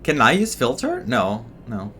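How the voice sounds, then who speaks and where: A man's voice: medium pitch, huge pitch swings, a little slow, fairly bright tone, soft background music. A man giving casual, amused, reactive commentary as he plays through a video game. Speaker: gaming streamer.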